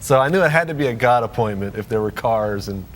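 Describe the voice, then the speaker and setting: A man's voice: mid-range voice, animated, fast, a little hiss or hum. A man from Hong Kong, a tour producer, chatting easily as an interview guest, joking and chuckling often. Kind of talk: relaxed conversational interview.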